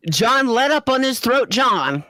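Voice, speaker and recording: A woman hosting and narrating a comedic amateur fan fiction reading in a giggly, halting way. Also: fairly high pitch, very animated, sharp tone. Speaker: narrator and host.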